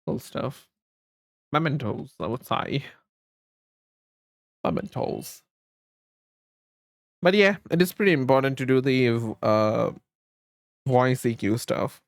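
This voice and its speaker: Guy chatting on stream, slow n halting, repeats himself, close dry mic. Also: medium pitch, gravelly, no room sound. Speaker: live streamer and host. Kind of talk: casual livestream chat with viewers. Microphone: close streaming microphone.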